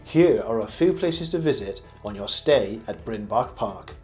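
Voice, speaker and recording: An older man reading a scripted review voice-over as host, in a measured, formal presenter manner. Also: fairly low pitch, lively intonation, a little mellow. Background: background music.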